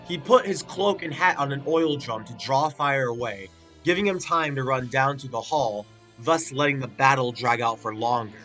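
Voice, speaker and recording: A man's voice: mid-range voice, normal speed, sharp tone, soft background music. A man giving a casual spoken review with a stuffy, congested nose, chatty and full of filler words. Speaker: video reviewer.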